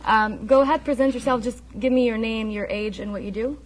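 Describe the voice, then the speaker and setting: A woman's voice: fairly high pitch, fairly quick. A woman hosting a live community television talk show, introducing her guest in a friendly, welcoming way with some fillers.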